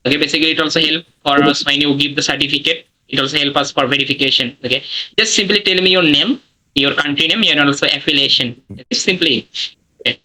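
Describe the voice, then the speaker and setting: A man's voice: on the high side, fast, bright, forceful tone, low-quality recording. A man leading a live online training session over a video call, speaking plain non-native English with many "okay"s and fillers. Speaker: online course instructor.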